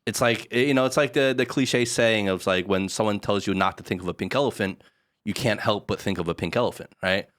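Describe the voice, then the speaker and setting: Man with a very deep voice, laid back on a podcast, lots of likes, dry sound. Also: fairly quick, no room sound. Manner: relaxed and reflective, heavy on fillers. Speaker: podcast co-host. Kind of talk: casual podcast discussion.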